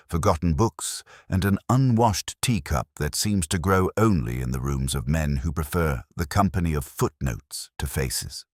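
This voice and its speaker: A man performs a thoughtful, literate detective narrating an audio drama in an exceptionally deep voice. The delivery is reflective and formal, yet moves expressively in pitch. The sound is dry, with no room around it. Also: dry recording, heavily acted. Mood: brooding, observant. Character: brooding, literate male police inspector recounting a case.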